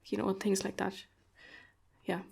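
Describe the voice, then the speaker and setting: Brief: woman host, non-native English, mid pitch, halting and thoughtful, video call. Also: completely flat delivery, vocal fry, darkish tone, slightly rough. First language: not English. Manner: thoughtful, hesitant with many fillers and laughs. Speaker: podcast host. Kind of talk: casual conversation.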